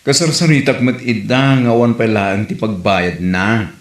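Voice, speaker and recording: A man playing a practical parent giving steady advice in an Ilocano comedy audio drama. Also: fairly low pitch, moderate pace, lightly acted. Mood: concerned. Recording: roomy, echoey.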